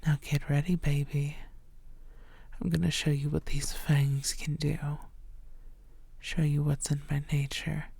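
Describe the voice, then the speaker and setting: A woman playing a possessive, menacing female vampire in an intimate ASMR roleplay, teasing and often slipping into breathy half-whispers. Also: low-pitched, level tone, measured pace, long pauses, dark tone, dry recording, exaggerated voice. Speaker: audio roleplay voice actor.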